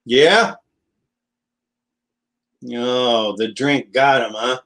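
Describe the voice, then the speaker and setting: Slow-talking, spiteful hired gunman. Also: mid-range voice, fairly bright tone. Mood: sneering. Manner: slangy, tough, sneering. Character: sullen, spiteful young male hired gunman. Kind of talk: 1940s radio drama acting.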